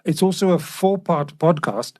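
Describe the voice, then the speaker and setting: A man's voice: fairly high pitch, moderate pace, darkish tone. A man co-hosting a podcast, introducing and explaining a segment. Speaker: podcast co-host.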